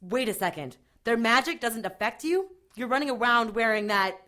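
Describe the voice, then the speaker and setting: A woman's voice: fairly high pitch, lively intonation, normal speed, pushed and bright. A woman playing a feisty, skeptical sidekick in an audio drama, blunt and full of questions. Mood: skeptical, insistent.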